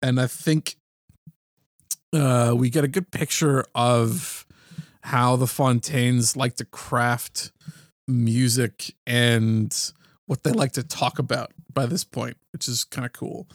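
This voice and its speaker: A man's voice: medium pitch, fairly even intonation, slow, no room sound, clean studio sound. Man co-hosting, full of filler words. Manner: hesitant, lots of fillers like "uh" and "like". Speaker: podcast co-host. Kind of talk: casual music podcast chat.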